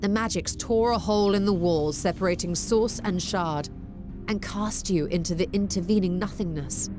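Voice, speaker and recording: An actress voices a composed female scholar in a fantasy video game. She lectures patiently and precisely in formal phrasing at a medium pitch, with music clearly playing behind her. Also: moderate pace, natural voice. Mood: composed, explanatory. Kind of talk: scripted expository game dialogue.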